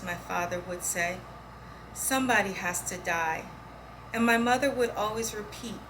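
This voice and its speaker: Female poetry reader, fairly high voice, clear background noise on the recording. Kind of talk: poetry reading aloud.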